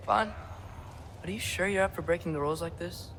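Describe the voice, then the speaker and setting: A man's voice: high-pitched, brisk, fairly bright tone, slight background noise. A young man playing an earnest, guarded teenage boy hero in a video game, hesitant and stammering when flustered. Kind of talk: naturalistic video game acting.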